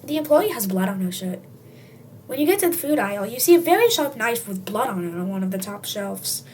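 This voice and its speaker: A high, youthful voice reading a comic story aloud in a dramatic, tongue-in-cheek way. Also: sing-song, a little fast, a little mellow. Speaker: storybook reader. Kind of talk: comic read-aloud narration in second person. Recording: distant mic, faint background noise.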